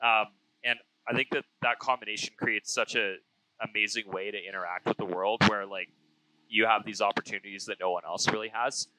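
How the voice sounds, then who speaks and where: A man's voice: lowish voice, fairly even intonation, fairly quick, sharp tone, a little hiss or hum, rough recording. A man around thirty hosting a casual, conversational interview, speaking into an aviation headset mic. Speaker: interview host, pilot. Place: small plane cockpit in flight. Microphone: aviation headset boom. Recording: close aircraft headset intercom.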